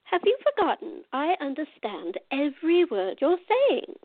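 Radio drama: cantankerous but fair old woman played by an actress, high and expressive, principled and unbending. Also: normal speed, dry recording, phone-quality sound. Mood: firm. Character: stubborn, fair-minded old woman, cantankerous yet composed. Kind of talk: radio theater acting.

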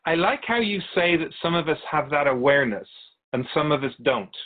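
A man playing a calm otherworldly spirit guide in a radio drama, explaining things in a measured, quizzical way as an interview guest. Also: fairly high pitch, phone-quality sound. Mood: patient teaching. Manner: measured, explanatory, quizzical about human speech.

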